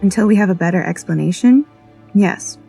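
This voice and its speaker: A woman playing a sharp, matter-of-fact scientist in an audio drama, explaining things in a measured way. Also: medium pitch, vocal fry, faint music behind, close to an everyday voice, low-quality recording. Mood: probing, thoughtful.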